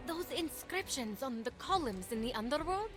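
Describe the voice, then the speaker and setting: A woman's voice: high-pitched, animated, moderate pace, over music. A woman playing a plucky, earnest young adventurer who encourages others in a video game. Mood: curious, eager. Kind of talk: video game character acting.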